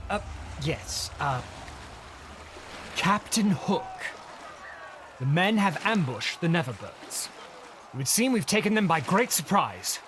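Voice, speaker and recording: An actor playing a meek, deferential pirate first mate in an audio drama, hesitant and anxious to please. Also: male voice, a little high, big pitch swings, takes it slowly, pauses often, noisy background. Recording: loud music under the voice.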